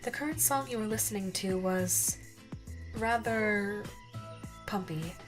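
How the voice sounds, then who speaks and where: A woman's voice: mid-range voice, measured pace, over music. A woman narrating a second-person ASMR roleplay story as a scripted storyteller. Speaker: narrator and voice actor.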